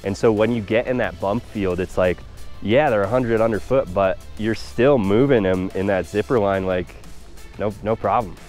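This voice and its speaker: A man's voice: fairly low pitch, moderate pace, darkish tone. A young man giving a laid-back, conversational product review to camera as a ski reviewer, outdoors. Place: outdoors at a snowy ski slope. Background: music.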